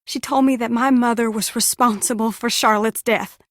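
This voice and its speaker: A woman's voice: a little high, fairly even intonation, normal speed, no echo, studio quality, close to an everyday voice. An actress playing an earnest, troubled young woman in a video game, speaking with urgent, pleading sincerity. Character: earnest, troubled young woman confiding dark family secrets. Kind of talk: scripted game voice acting.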